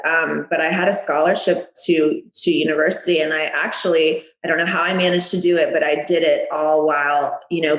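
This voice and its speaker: Woman, 30s, from the US, podcast guest, bubbly and chatty, slightly low voice, echoey room. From United States. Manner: bubbly, chatty, laughs often. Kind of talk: casual interview.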